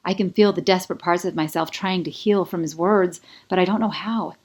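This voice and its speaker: Low-toned woman narrating romantic fiction. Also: fairly even intonation, a little fast, darkish tone, breathy edge. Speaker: author reading own audiobook. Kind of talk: scripted first-person fiction narration.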